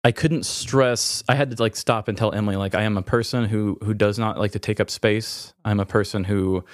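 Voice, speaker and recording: Male podcaster, lowish pitch, dry sound, hesitant and self-interrupting, personal and unscripted. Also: fairly even intonation, brisk, no room sound. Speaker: podcast host. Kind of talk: candid personal podcast talk.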